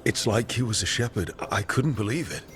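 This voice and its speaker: An actor playing a calm, supportive, gently wry male hero in video game dialogue, giving short, unhurried replies. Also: on the low side. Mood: reflective. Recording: music under dialogue.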